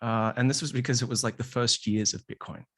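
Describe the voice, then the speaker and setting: A man's voice: medium pitch, steady tone, fairly quick. Guy cohosting a podcast, medium voice, chatty, some uhs. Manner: loose, conversational questions with fillers. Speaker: podcast co-host. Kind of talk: casual conversation.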